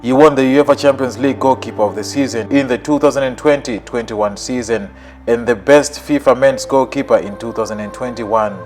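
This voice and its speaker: A man narrating a scripted countdown of football players in an even, list-like reading. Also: mid-range voice.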